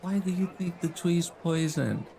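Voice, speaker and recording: A man's voice: fairly high pitch, normal speed, gravelly, mellow, slight background noise. A man playing a tabletop role-playing game, improvising in character with casual, slangy, sweary speech.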